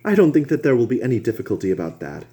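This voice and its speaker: A man voicing an earnest male painter, gently coaxing his friends in a lifted voice. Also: medium pitch, big pitch swings, very fast, pitched above the performer's own voice.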